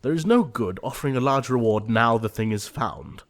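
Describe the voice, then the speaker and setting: A man acting an earnest, easily exasperated young English gentleman in an audio play of a period comedy, formal and emphatic. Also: mid-range voice, expressive, moderate pace, close to an everyday voice.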